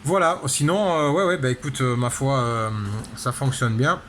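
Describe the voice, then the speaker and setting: A man's voice: medium pitch, moderate pace, fairly bright tone, a little hiss or hum. A man chatting casually as an amateur radio operator, rambling along with many repeated tag words. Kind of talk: casual radio contact chat.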